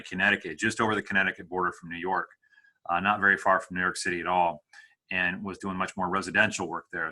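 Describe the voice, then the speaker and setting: Low, flat-voiced Midwestern man. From Midwest United States. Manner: measured, hesitant, with many fillers and restarts. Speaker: executive director of a homeless services charity. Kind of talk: interview answers.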